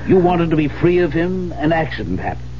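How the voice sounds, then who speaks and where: An actor playing a stern, rational friend, firm and admonishing, in a 1970s radio drama. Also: male voice, a little high, sing-song, a little fast. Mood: stern, confronting. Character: stern, rational male friend confronting a widow. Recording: muffled, noisy vintage radio broadcast.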